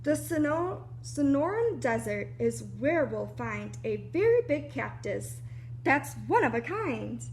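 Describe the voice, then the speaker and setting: A woman reading a children's picture book aloud like a preschool teacher, animated and explanatory, with little asides to young listeners. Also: sits high, a little slow, a little hiss or hum.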